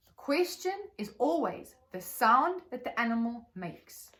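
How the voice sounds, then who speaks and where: Female teacher trainer, South African accent, slightly high register, articulate, measured presentation for educators. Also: big pitch swings, a bit bright.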